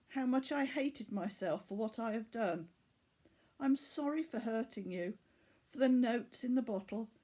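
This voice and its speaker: A woman's voice: fairly high pitch, warm tone. A woman voicing a kind, remorseful captive boy in a children's audiobook, earnest and pleading in a formal way.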